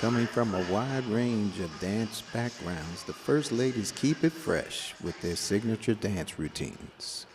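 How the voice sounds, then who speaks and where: A man's voice: on the low side, expressive, a little slow, few pauses, noisy background. A man giving scripted narration for a sports feature, in an upbeat announcer manner. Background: music.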